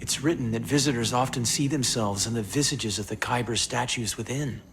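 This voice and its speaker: Reverent male scholar-monk for a game, played by an actor: medium pitch, hushed, audible ambient noise. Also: a little fast, natural voice. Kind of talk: scripted video game dialogue.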